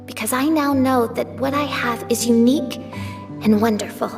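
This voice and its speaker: A woman's voice: a little high, normal speed, lightly acted. A woman voicing a theatrical, proud teenage princess in a video game, with a pompous, declamatory manner. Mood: reflective, resolute. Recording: clear music behind the voice.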